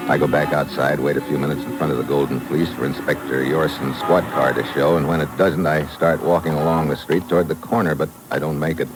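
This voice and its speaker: Very deep, hard-boiled radio agent. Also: male voice, fairly even intonation, fairly quick, hardly any pauses, poor audio. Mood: terse, tense. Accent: American. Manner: clipped, terse, hard-boiled. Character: tough, dogged male secret agent on a case. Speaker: lead actor who also narrates the story. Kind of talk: 1950s radio thriller acting, hard-boiled first-person narration. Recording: vintage 1950s radio recording.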